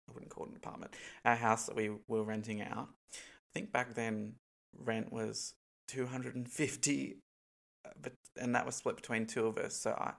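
A man's voice: mid-range voice, gravelly. A young Australian man with a stuffy, congested nose, chatting casually to camera in a rambling way, full of ums and likes. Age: young adult. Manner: rambling, many ums and likes, laughs between points. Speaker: vlogger.

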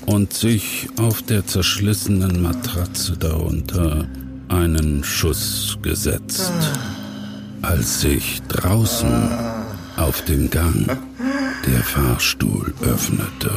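Male audio drama storyteller, low creaky voice, scripted narration over loud music. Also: lively intonation, very slow.

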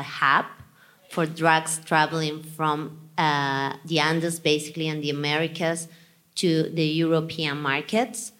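A woman from Spain speaks on a conference panel, her English carrying a Spanish accent. Her voice sits low for a woman. She picks her words haltingly, with frequent fillers and restarts. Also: fairly bright tone. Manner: hesitant, many restarts and fillers, careful phrasing.

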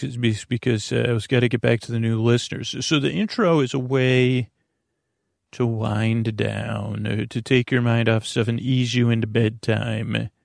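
Creaky-voiced man, meandering and halting. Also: mid-range voice, no room sound, studio quality. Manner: meandering, halting, self-interrupting, trailing off. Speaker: podcast host. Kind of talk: rambling bedtime podcast monologue.

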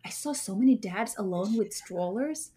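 A woman's voice: medium pitch, animated, moderate pace, dark tone, slightly rough. A confident woman from Sweden speaking as a guest in a conversational interview, often dropping in "like" and "sort of". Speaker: guest, startup founder and CEO.

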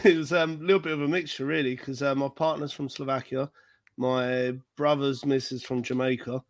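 A man's voice: medium pitch, little pitch movement, fairly quick, no echo. A cheerful man with a London accent chatting casually as a podcast guest, light-hearted and self-mocking. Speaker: podcast guest, football correspondent. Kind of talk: casual chat.

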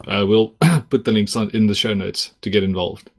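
A man's voice: fairly low pitch, moderate pace. A man from South Africa, a native Afrikaans speaker, hosting a casual podcast in a chatty, rambling way with many likes. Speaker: podcast host.

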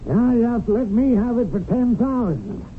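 An American actor in a radio mystery drama playing an indignant, gullible, greedy property buyer, blustering and emphatic. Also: male voice, very high voice, normal speed. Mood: triumphant scheming. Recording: muffled, noisy 1970s radio broadcast recording.

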